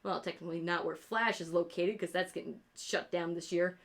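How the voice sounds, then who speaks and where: A woman's voice: lowish voice, fairly even intonation, fairly quick, creaky. New york lady streaming her art, rambly, swears a bunch, upstate accent, just hanging out. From rural New York State. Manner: rambling, swears a lot, laughs at own jokes. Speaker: streamer and artist. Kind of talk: casual livestream chat while drawing.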